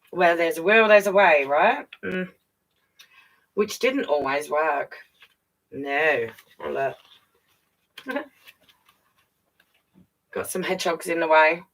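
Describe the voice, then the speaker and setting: A woman's voice: low-pitched, a bit bright, rough recording. A woman reading a children's picture book aloud expressively, with chatty asides straight to young listeners. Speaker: children's storyteller.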